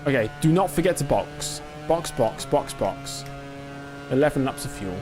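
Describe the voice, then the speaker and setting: A young man casually commenting on his own racing game in a live stream, joking and self-mocking, quick to get excited. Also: mid-range voice, music in the background. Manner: quickly excitable under pressure.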